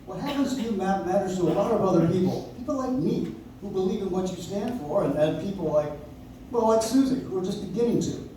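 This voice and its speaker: Earnest, loyal lawyer played by a man, soft-edged higher voice, stage radio drama, recorded far off in an echoing room. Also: animated, fairly quick. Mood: earnest concern. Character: earnest, loyal young lawyer pleading with friend. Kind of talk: live stage radio play. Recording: roomy, distant audience recording with noise.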